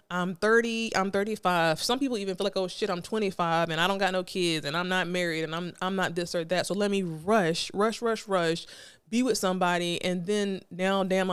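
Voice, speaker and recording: A young woman talks on her own podcast in a chatty, emphatic way, dropping "you know" asides as she goes. Her voice is smooth and clear, recorded close and dry with no room sound. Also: mid-range voice, no room sound. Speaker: podcast host. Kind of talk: casual solo podcast talk.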